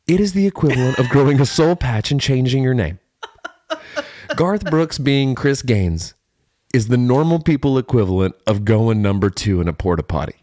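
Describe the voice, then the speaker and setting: A lively man co-hosting a comedy podcast, with pitch that swings around a lot. He tells jokey stories casually and leans on emphatic repetition. The sound is close and dry. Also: normal speed, dry recording. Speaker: podcast co-host.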